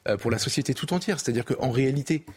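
A man debating emphatically as a studio panel commentator, quick to interject. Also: mid-range voice, slightly rough.

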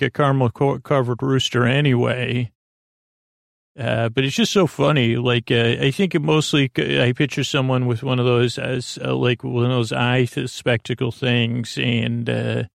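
A man with a creaky, lulling voice hosting a bedtime podcast, meandering haltingly and interrupting himself as he drifts between thoughts. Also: medium pitch, dry recording, studio quality. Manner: halting, digressive, self-interrupting. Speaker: host of a bedtime sleep podcast. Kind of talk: rambling, meandering bedtime monologue.

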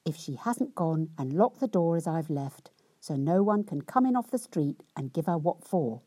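A woman voicing a poor, weary, hard-working husband who grumbles in a gruff, exasperated way. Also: low-pitched, animated, normal speed, dark tone, dry recording. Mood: musing.

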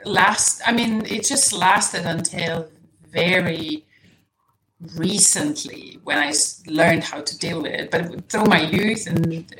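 A Serbian woman, a native Serbian speaker, chatting as a podcast guest over a video call, soft-spoken and thoughtful with small laughs. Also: fairly low pitch, takes it slowly, poor audio. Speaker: podcast guest, nutrition coach. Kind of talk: casual interview chat. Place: home in southwest England.

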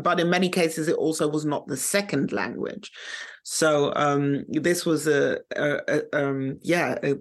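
A woman's voice: sits low, fairly bright tone. A woman whose first language is German, speaking English as a podcast guest in a hesitant way, with many fillers and restarts. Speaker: guest, co-editor. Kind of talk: conversational interview.

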